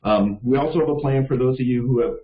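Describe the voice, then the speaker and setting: A man's voice: medium pitch, fast. A man presenting on a conference call, talking informally with many fillers and earnestly urging his listeners to act. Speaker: staff presenter for a league of cities. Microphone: call audio. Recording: echoey, dull call audio.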